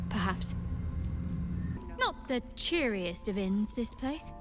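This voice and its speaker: Video game role, for a woman: young female dark-goddess cleric, guarded and sardonic, higher-pitched, dry cutting delivery over soft music. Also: lightly acted. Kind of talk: dramatic video game dialogue.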